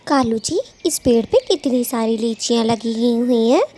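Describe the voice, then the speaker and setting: A woman's voice: on the high side, unhurried, mellow, a little hiss or hum, close to an everyday voice. A woman voicing a gossipy, scheming female bird thief who dotes on her husband, in a dramatic, exclamatory children's cartoon manner. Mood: greedy, gleeful. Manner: dramatic, exclamatory, scolding and fawning. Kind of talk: Hindi children's cartoon dialogue.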